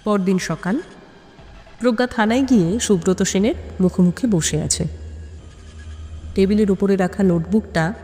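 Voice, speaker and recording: A woman narrates a detective story in a suspenseful, deliberate way with dramatic pauses. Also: medium pitch, dark tone, faint music behind. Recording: long cavernous reverb on the voice.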